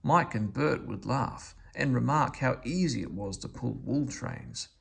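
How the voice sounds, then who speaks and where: A man's voice: medium pitch, lively intonation, measured pace, gravelly, fairly bright tone, husky. A man reading a children's story aloud as a scripted narration.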